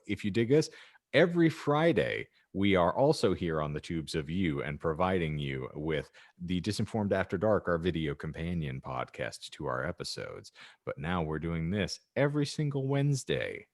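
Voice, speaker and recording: A man's voice: sits low, dry recording, clean studio sound. A man hosting a podcast in casual chat, with a wordy, mock-grand way of phrasing things. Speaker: podcast host.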